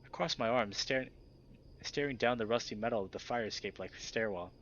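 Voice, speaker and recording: A man reading a visual novel aloud and commenting casually as a video host, expressive when he reacts. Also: fairly low pitch, a little fast. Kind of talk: casual let's play commentary and narration. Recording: slightly dull recording with background music. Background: game music.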